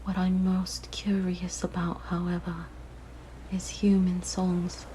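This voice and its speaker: Siren, played by a woman: lowish female whisper, breathy, slow, gently menacing yet curious, ASMR roleplay, faint noise behind. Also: completely flat delivery, low-quality recording. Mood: shy, fascinated. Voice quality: soft, breathy, largely whispered. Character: weak-voiced yandere siren, lonely, curious, hungry predator.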